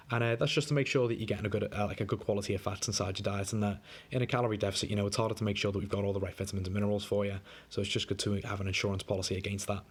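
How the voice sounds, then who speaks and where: A young man coaching a client on a diet plan in a casual, chatty way, sounding a bit wheezy from a coming flu. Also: on the low side. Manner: laid-back, chatty, lots of fillers. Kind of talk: casual screen-recorded walkthrough. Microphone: screen recording.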